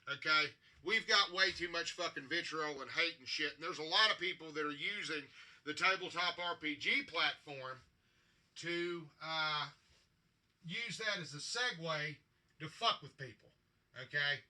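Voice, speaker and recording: Male hobby vlogger, somewhat high-pitched and very sharp-edged, rambling, blunt, foul-mouthed, addressing viewers informally. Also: unhurried. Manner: blunt, salty, frequent swearing. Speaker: tabletop gaming hobbyist and vlogger. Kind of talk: casual rambling vlog talk to viewers.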